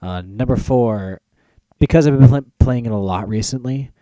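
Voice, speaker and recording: A man's voice: mid-range voice, moderate pace, warm tone, dry recording. A man hosting a casual podcast, thinking aloud with hesitations and repeated words. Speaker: podcast host counting down a list.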